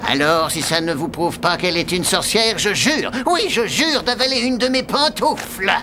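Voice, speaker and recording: A man's voice: high voice, animated, moderate pace, noisy background. A man playing a scheming, spiteful cartoon archbishop in a pompous, theatrical and mocking manner. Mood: insinuating. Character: scheming, sneering, sycophantic archbishop, spiteful villain. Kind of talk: animated fairy-tale dubbing in French. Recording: music behind the voice.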